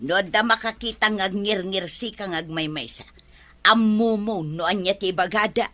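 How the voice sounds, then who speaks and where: A woman's voice: mid-range voice, normal speed, sharp tone, close to an everyday voice. An actress with an Ilocano accent playing a nosy, scolding village woman in a comedy drama. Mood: teasing.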